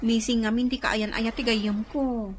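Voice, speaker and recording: A woman's voice: on the high side, fairly even intonation, a little slow, a little hiss or hum, natural voice. A woman with an Ilocano accent playing a concerned, slightly exasperated young friend in a radio drama.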